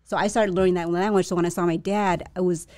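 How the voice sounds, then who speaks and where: Soft-spoken reflective woman, warm low voice. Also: fairly even intonation, quick pace, no room sound. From Vietnam. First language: a Chinese dialect. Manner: hesitant, reflective, many restarts and fillers. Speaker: guest. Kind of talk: casual interview.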